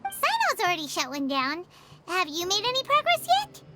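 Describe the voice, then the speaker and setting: Squeaky, tiny childlike companion sprite. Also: female voice, huge pitch swings, normal speed, full character voice. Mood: perky, curious. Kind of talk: video game voice acting. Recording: loud background noise.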